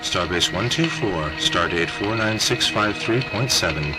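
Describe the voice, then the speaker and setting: Loud music sits behind a man playing an emotionless space station computer in a sci-fi audio drama. The voice is deep and impersonal, delivering formal status reports. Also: lively intonation, unhurried, rough recording. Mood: impassive. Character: emotionless starbase security computer. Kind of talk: science-fiction audio drama acting. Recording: loud music bed under the voice.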